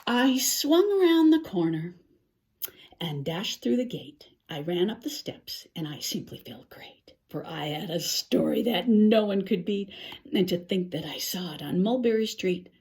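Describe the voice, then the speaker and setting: An older woman reads a rhyming children's story aloud in an expressive, theatrical way, with musing exclamations and dramatic pauses. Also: lowish voice. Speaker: picture-book reader.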